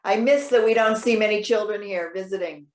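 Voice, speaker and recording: Proud, witty older woman played by an actress, stage-reading drama, articulate and dry, mid-pitched, echoing room. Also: brisk, natural voice. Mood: wry, chatty. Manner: articulate, wry, pointed. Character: proud, witty elderly woman, retired social worker. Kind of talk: readers theater dialogue. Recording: roomy echo.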